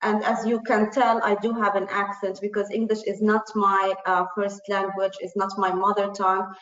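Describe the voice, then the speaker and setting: A woman's voice: medium pitch, little pitch movement, moderate pace, a bit bright. Woman, arabic first language, talking earnest on a panel, lots of uhs, kinda muffled and roomy. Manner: earnest, many fillers and restarts. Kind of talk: panel discussion.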